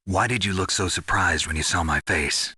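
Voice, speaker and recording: Video game lead: hard-bitten veteran commando, low, husky, forceful, terse and deadpan. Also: male voice, normal speed, dry recording, studio quality, heavily acted. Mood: questioning, guarded. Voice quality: gravelly, husky. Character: gruff, world-weary veteran male special forces soldier. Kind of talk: dramatic video game voice acting.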